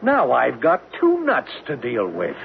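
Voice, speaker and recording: An actor playing an impatient, blustery oil drilling boss in a radio drama, brusque and sarcastic. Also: male voice, medium pitch, wildly swooping pitch, measured pace, creaky, exaggerated voice. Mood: exasperated. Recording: noisy, muffled old radio broadcast.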